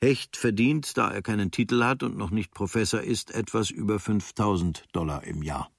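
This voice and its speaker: An actor reading as a young German writer on his travels, observant and dryly critical, in measured, sober, clipped phrases. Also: fairly low pitch, vocal fry, breathy edge. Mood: detached, observant. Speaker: reader of a writer's diary. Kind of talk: literary reading of a travel diary.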